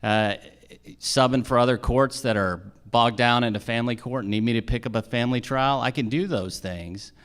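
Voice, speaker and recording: A man's voice: medium pitch, moderate pace, fairly bright tone, clean tone. A man answering questions as a judicial candidate at a forum, explaining his points in a plain-spoken way.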